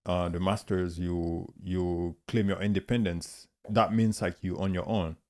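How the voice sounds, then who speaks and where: Very deep-voiced man. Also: level tone, darkish tone. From Haiti. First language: Haitian Creole. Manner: halting, searching for words. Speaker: guest artist. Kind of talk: casual conversation.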